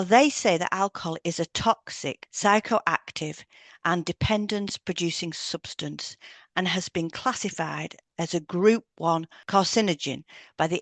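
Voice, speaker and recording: Lowish-voiced woman reading an educational podcast. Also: no room sound. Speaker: podcast co-host, hypnotherapist. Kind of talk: scripted podcast narration, read aloud.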